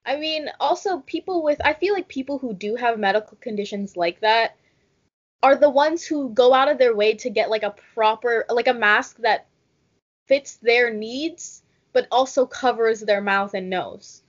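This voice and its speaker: A woman's voice: fairly high pitch, moderate pace, dull audio. A young woman co-hosting a podcast in casual chat, talking loosely with many fillers and a wry, guarded tone. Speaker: podcast co-host.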